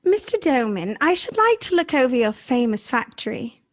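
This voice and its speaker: A woman's voice: high voice, big pitch swings, normal speed, dry recording. A woman playing a poised, inquisitive young society lady in a 1930s-style radio drama, polite and formal. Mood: poised, insistent.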